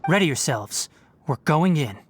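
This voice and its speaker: A man's voice: on the high side, lively intonation, normal speed, a little hiss or hum, lightly acted. A man playing a young, serious, analytical forest ranger who explains things precisely in a video game. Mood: serious, concerned.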